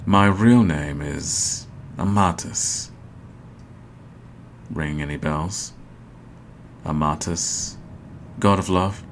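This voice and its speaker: Man playing a deep-voiced, flirty god of love. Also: very slow, pauses often, a little hiss or hum, heavily acted. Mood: coy, flirty. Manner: teasing, coaxing, conversational. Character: flirty, playful male god of love posing as matchmaker. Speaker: matchmaker. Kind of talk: intimate roleplay ASMR acting. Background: music.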